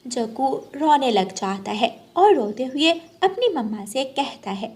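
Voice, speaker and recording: A woman's voice: high-pitched, animated, measured pace, a little mellow, husky. A woman narrator reading a scripted children's story.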